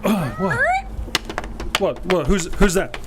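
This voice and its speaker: A man's voice: sits high, slow, music in the background. A man in a community radio play voicing a wisecracking, charming detective. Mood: wry. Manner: wisecracking, breezy. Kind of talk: community theater radio drama.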